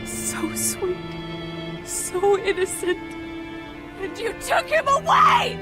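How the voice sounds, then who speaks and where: A grief-stricken young noblewoman, voiced, her voice very high and wildly swinging as it breaks into sobs. She draws out every word at a crawling pace. A music score plays beneath her in the game audio. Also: very animated, slow, heavily acted. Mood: anguished. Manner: sobbing, pleading, high and breaking. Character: grieving young noblewoman, devoted older sister. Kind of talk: video game voice acting.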